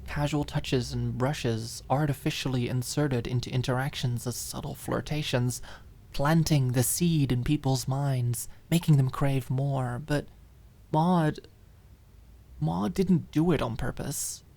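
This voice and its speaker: A woman's voice: very deep voice, a little slow, gravelly, mellow. A woman reading a dramatic fiction audiobook expressively, lingering on key words. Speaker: audiobook narrator. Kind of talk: dramatic scripted fiction narration.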